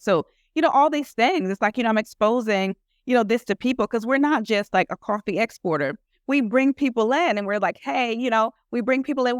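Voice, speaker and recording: A woman's voice: medium pitch, clean tone, no echo, clean studio sound. A woman guest in a casual interview who talks earnestly about her work, rambling and filling her speech with "you know". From United States. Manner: earnest, rambling with many fillers. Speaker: guest entrepreneur.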